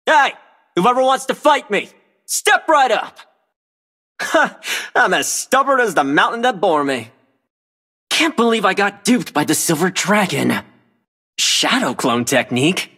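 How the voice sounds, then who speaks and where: Cocky, husky monkey king warrior. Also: sits high, big pitch swings, a little slow, pauses often, sharp tone, full character voice. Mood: combative, boastful. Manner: brash, taunting, theatrical. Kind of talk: video game hero voice lines.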